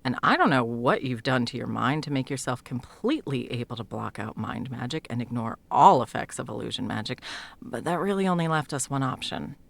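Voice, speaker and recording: A woman playing a sardonic, foul-mouthed sound mage in an intimate fantasy audio roleplay, talking sarcastically and emphatically with a creaky edge. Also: rumbling low, lively intonation, a little fast, exaggerated voice. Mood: defensive. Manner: sarcastic, emphatic, swearing freely. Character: sardonic, foul-mouthed female sound mage, guiltily helping an old friend.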